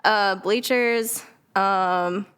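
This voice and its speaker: A woman's voice: medium pitch, extremely slow, a bit bright, clean tone. A woman chatting casually as a guest on a podcast. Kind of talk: casual chat.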